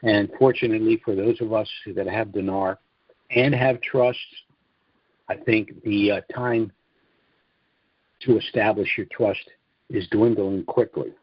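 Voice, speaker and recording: A man giving a scripted sales presentation on a conference call in a measured, explanatory manner, with muffled, roomy audio. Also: on the low side, steady tone, husky. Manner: measured, explanatory, asks and answers own questions.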